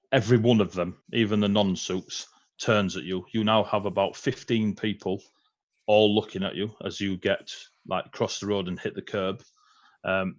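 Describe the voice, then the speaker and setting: A man's voice: lowish voice, dry recording, lo-fi sound. A man running a tabletop game as game master, chatty and rambling, with fillers and laughs.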